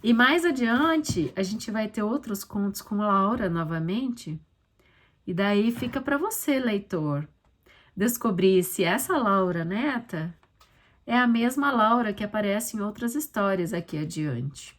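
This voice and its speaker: A woman's voice: medium pitch, lively intonation, a little slow. A Brazilian woman talking casually and reflectively as an author and podcast host, explaining things conversationally with frequent little check-in tags. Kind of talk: casual reflective commentary on her own short story.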